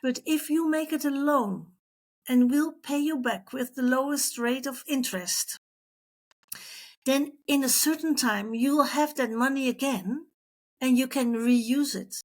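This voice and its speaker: Higher-voiced middle-aged woman telling stories. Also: measured pace. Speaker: interview guest, former missionary. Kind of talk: personal storytelling in an interview.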